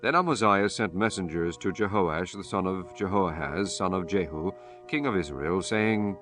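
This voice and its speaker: A man reading scripture as an audiobook narrator in a solemn, measured, formal manner. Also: sits low, a bit bright, soft background music.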